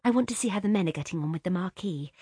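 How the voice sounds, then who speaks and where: A woman's voice: lowish voice, animated, very fast, dark tone, breathy edge, no echo, studio quality. A woman voicing a sensitive, well-bred young woman who speaks earnestly. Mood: dreamy. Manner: earnest, urgent questions.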